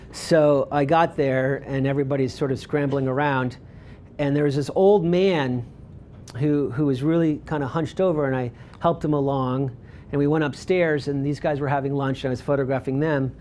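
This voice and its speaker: A man giving a talk about his photography work, speaking in a relaxed, rambling way with frequent ums and restarts. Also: mid-range voice, normal speed, a little hiss or hum. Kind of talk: presentation with audience questions.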